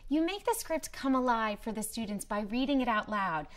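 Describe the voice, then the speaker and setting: A woman presenting a promotional training video in a scripted, clearly structured explanatory way. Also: fairly high pitch, lively intonation, normal speed. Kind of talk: scripted instructional narration.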